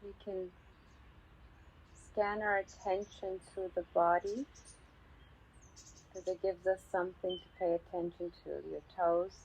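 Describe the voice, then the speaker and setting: A woman teaching a guided meditation calmly and unhurriedly, pausing often between short phrases. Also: on the low side, a little hiss or hum. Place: outdoors in a garden. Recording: slightly dull sound with birdsong. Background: birdsong.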